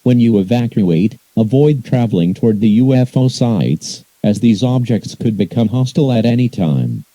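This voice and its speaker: A man reading a scripted emergency broadcast announcement in a flat, formal, measured, synthetic-sounding manner. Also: mid-range voice, dry recording, rough recording.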